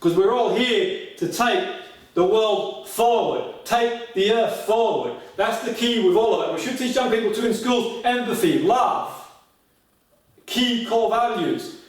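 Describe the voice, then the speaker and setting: High-voiced male motivational speaker, very echoey room. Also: normal speed. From Australia. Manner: direct address with repeated catchphrases and rhetorical questions. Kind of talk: motivational goal-setting seminar talk. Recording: very echoey room, distant mic.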